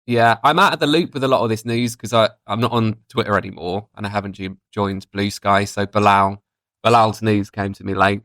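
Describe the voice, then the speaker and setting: A man in his thirties chatting casually as a podcast co-host, joking in a deadpan way. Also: lowish voice, brisk, dry recording, studio quality. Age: 30s. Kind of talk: casual bantering podcast chat. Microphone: online call.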